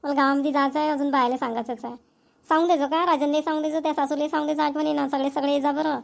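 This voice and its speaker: A woman voicing a busy village mother in an amateur cartoon comedy, speaking homely, repetitive Vidarbha Marathi in a hurried manner. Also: high-pitched, steady tone, vocal fry. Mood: brisk, insistent. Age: middle-aged. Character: busy village mother rushing to invite neighbours to engagement.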